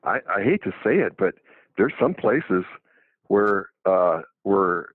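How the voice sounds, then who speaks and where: A man's voice: sits low, big pitch swings, takes it slowly, slightly rough, no room sound. A man in his seventies, a doctor, talking casually on the radio with many restarts and fillers. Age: 70s. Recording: phone-like dry narrow line.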